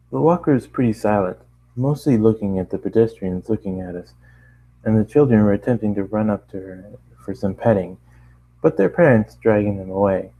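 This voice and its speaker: Low-voiced man stumbling through a story. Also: dull audio. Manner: stumbles over lines and rereads them, apologising. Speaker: amateur fiction reader and narrator. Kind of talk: reading a first-person fan story aloud.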